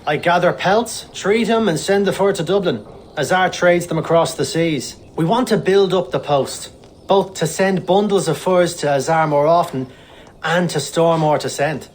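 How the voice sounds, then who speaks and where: An actor playing an obliging, plain-spoken fur trapper and trader in a historical video game, with a matter-of-fact manner. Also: male voice, on the high side, moderate pace, slight background noise, close to an everyday voice. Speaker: game character, trading post worker. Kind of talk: video game cutscene acting.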